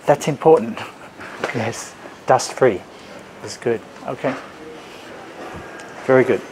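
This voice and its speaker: A man's voice: mid-range voice, slow pace, darkish tone. A middle-aged man hosting a tour in a casual, agreeable and approving way, chatting on the spot. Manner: agreeable, approving short responses. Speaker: host of factory tour. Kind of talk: casual on-site tour chat. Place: indoors in electronics factory rooms and assembly hall. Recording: noisy factory ambience, handheld camera sound.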